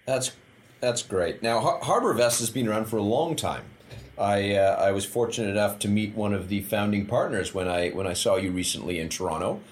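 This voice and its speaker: A man's voice: lowish voice, brisk. A man hosting a podcast interview, talking casually with many fillers and restarts. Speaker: podcast host.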